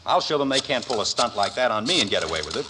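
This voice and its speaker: An actor playing an exasperated, sarcastic middle-aged suburban father in a 1950s radio sitcom, with indignant blustering and a husky voice. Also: on the low side, big pitch swings, quick pace, noisy background.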